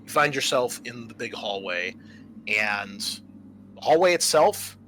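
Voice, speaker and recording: A man working as game master, narrating a tabletop horror game in a halting, explanatory way. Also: medium pitch, lively intonation, over music.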